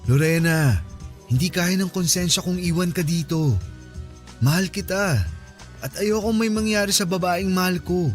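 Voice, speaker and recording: High-voiced, insistent lovesick man played by an actor. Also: moderate pace, long pauses, soft background music, lightly acted. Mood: pleading, lovesick. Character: insistent, lovesick male friend pursuing married woman. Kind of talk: Filipino radio drama acting.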